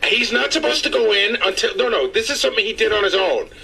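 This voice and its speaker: Very high, sharp-voiced defensive man. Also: expressive, fast talker, vocal fry. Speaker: radio show producer.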